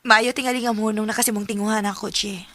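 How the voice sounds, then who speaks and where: A woman playing a bright, firm young student. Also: medium pitch, steady tone, quick pace, no echo, natural voice. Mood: gentle. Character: young female student rejecting a suitor. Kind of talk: amateur radio drama acting.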